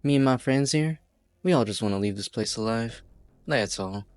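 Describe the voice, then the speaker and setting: A man playing a calm, menacing leader of hostage takers in an amateur game fan dub, unhurried, folksy and coolly threatening. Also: medium pitch, dry recording, lightly acted.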